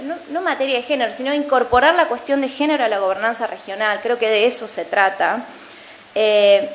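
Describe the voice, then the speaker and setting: A woman's voice: mid-range voice, poor audio. Woman panelist with a faint hiss. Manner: thoughtful, many fillers and tag questions. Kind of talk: academic talk.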